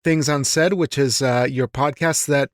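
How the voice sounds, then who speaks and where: Male interviewer, medium pitch, encouraging and conversational, very dry studio-like sound. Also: fairly even intonation, unhurried, dry recording. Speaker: podcast host interviewer. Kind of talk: casual interview chat.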